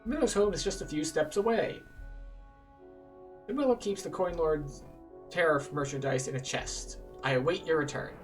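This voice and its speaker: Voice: mid-range voice, normal speed, faint music behind, lightly acted. Player voicing a polite male tax collector, distant mic. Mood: courteous, businesslike. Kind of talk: reading out video game quest dialogue.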